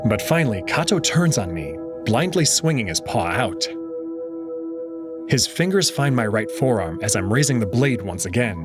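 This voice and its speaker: A man narrating a visual novel in the first person, with dramatic, expressive storytelling. His pitch sits mid-range but moves in lively swings. Clear music runs underneath the reading.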